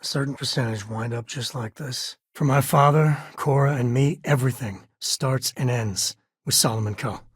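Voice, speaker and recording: A man's voice: medium pitch, normal speed, few pauses, slightly rough, no echo, clean studio sound, lightly acted. An actor playing an easygoing, wry, middle-aged spacefaring adventurer and father in a video game, talking in a folksy, colloquial way. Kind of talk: video game character dialogue.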